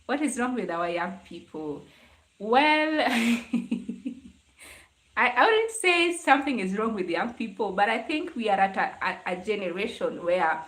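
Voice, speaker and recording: A woman's voice: medium pitch, expressive, unhurried, fairly bright tone. A young adult woman with a Kenyan accent hosts a casual live chat, talking conversationally to her viewers. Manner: conversational, greeting and answering commenters. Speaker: livestream host. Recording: roomy, echoing live stream sound.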